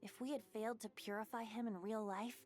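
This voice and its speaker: A woman plays a youthful male bard in a video game. The voice is light and fairly high. He sounds breezy yet thoughtful, over soft background music. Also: steady tone, fairly quick, natural voice. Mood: grave, thoughtful.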